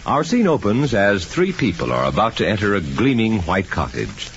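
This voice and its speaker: A man's voice: fairly low pitch, wildly swooping pitch, normal speed, slight background noise. A man announcing and narrating in a dramatic, theatrical 1940s radio style. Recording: dull old radio recording with music.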